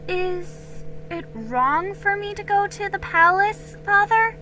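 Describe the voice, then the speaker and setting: A woman's voice: extremely high pitch, expressive, takes it slowly, bright, forceful tone, soft background music, voice pushed up, exaggerated voice. A woman voicing an eager, pleading young colonial girl in a dramatic storybook reading. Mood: anxious pleading. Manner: earnest, emphatic, pleading.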